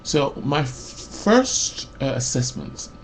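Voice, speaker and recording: A man in his forties, a mental health support worker, ranting about his job in a loud, rambling way full of fillers and restarts. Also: mid-range voice, very animated, takes it slowly, gravelly, a little hiss or hum, low-quality recording. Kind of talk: rambling personal rant.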